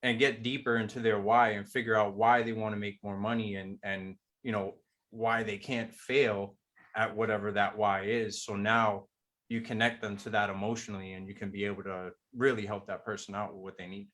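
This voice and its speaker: A man joining a coaching call, talking in a measured, reflective way and often slowing down to make a point. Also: lowish voice, little pitch movement, fairly bright tone. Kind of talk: casual group discussion.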